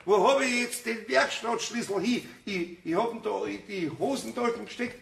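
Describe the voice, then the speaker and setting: Radio-play role: agitated Bavarian tradesman, high creaky voice, stammers and repeats himself, exasperated. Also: normal speed, fairly bright tone, slight background noise. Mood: flustered, muttering. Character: flustered, exasperated male craftsman, master wood turner. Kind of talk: German radio play acting.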